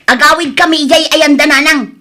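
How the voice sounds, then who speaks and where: A woman playing a sharp, reproachful young wife who scolds her husband in an audio drama. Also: high-pitched, fairly even intonation, fairly quick. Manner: nagging, scolding.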